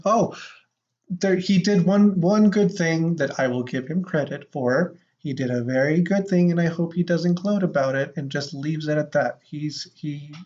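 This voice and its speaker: A podcast co-host in casual chat with a halting, wry way of talking, on a dull, lo-fi recording. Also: mid-range voice, darkish tone. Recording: dull, lo-fi sound.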